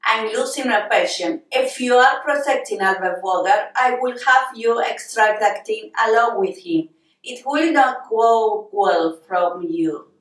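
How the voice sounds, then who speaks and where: A woman's voice: a little high, animated, a little slow. An Argentine woman in her late thirties with a Spanish accent, acting a stern, threatening agent in a film audition. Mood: cold, impatient. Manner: curt, commanding, threatening. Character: stern armed female Israeli agent interrogating a suspect. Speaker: actress auditioning. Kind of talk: acted film audition scene. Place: small bare indoor room. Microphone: camera a few feet away. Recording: echoey room, distant microphone.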